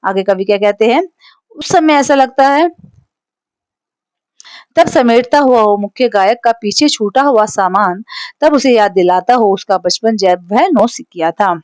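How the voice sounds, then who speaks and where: A woman's voice: on the high side, measured pace, darkish tone, no echo. Hindi-accented woman teacher explaining a poem. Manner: explanatory, frequent "theek hai" check-ins.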